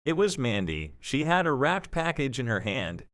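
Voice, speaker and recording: A man narrates a scripted audio drama story. His voice sits at a medium pitch and moves with lively, wide intonation, recorded close and dry. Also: moderate pace, dry recording.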